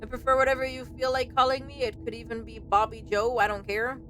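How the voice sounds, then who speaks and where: High-voiced, expressive woman streamer. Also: quick pace, slight background noise. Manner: expressive, exclamatory, chatty. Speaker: game streamer. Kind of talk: casual reactive let's play commentary.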